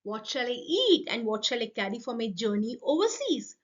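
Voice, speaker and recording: Little storybook sparrow, played by a woman: plaintive, insistent, chant-like, very animated pitch, lo-fi recording. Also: normal speed. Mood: pleading, insistent. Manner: chanting, repetitive pleading. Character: small pleading female sparrow, persistent and plaintive. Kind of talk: expressive children's folk tale storytelling.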